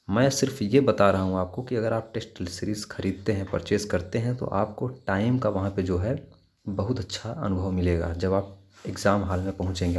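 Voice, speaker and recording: A man's voice: lowish voice, a little mellow. A man talks like an exam coach. He walks listeners through material informally, step by step, often repeating his advice. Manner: repetitive, step-by-step advising. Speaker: exam preparation teacher. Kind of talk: informal instructional screen walkthrough.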